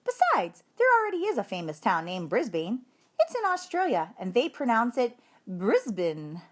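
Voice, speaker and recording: A woman playing an animated, firm schoolteacher. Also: on the high side, moderate pace, voice pushed up. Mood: brisk, pointed. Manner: firm, questioning classroom address. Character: female schoolteacher, firm, patient, in charge. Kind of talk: children's storybook read-aloud.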